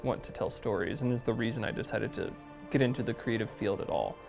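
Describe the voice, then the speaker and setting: A man's voice: fairly low pitch, fast talker, creaky, soft background music. A man who creates audio drama, talking in an interview in an earnest, enthusiastic and reflective way.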